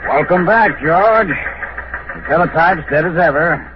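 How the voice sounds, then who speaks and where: An actor playing a wry news-office colleague in a vintage science fiction radio drama. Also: male voice, on the high side, unhurried, close to an everyday voice. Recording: dull old radio recording, clear background noise.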